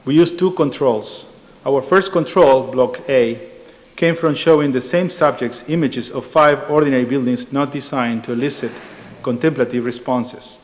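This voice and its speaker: A middle-aged man with an Argentine Spanish accent giving a measured academic conference talk, with frequent hesitations. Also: medium pitch. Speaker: architecture professor presenting research. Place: large lecture hall. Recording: reverberant hall.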